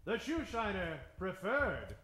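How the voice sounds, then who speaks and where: A man's voice: high voice, huge pitch swings, takes it slowly, fairly bright tone, a little hiss or hum. A man narrating as host of an old-time radio show with a theatrical announcer's delivery. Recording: roomy live stage sound.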